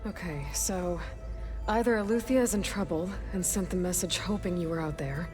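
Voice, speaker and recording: A woman's voice: fairly low pitch, normal speed, a little mellow, faint music behind, close to an everyday voice. A woman playing a determined, pragmatic young hunter in a video game, with a direct, dry, conversational delivery. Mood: curious, focused. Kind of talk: naturalistic game dialogue.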